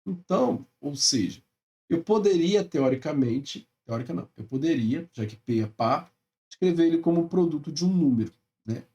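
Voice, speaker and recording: A man's voice: on the high side, expressive, normal speed, dark tone, far from the mic. A male math teacher with a Brazilian Portuguese accent, explaining step by step in a recorded lecture and often checking in with his students.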